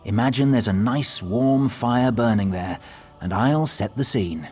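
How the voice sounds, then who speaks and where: An actor voicing a whimsical, coy gatekeeper who tells stories theatrically in a fantasy game. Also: male voice, mid-range voice, measured pace, a little mellow, faint music behind, close to an everyday voice. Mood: playfully instructive. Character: whimsical, courteous-demanding male gatekeeper and storyteller. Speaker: storytelling gatekeeper.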